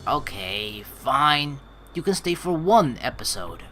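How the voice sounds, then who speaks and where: A man doing game commentary as a let's player, reading narration and lines aloud in an animated, rambling, informal way. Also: mid-range voice, takes it slowly, music in the background.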